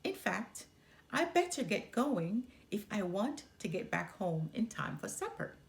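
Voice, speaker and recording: A woman's voice: medium pitch, sing-song, unhurried, vocal fry. A woman playing a sweet, innocent little girl in a children's storybook, trusting and polite. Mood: innocent cheerful. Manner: trusting, earnest. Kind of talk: children's storybook character reading.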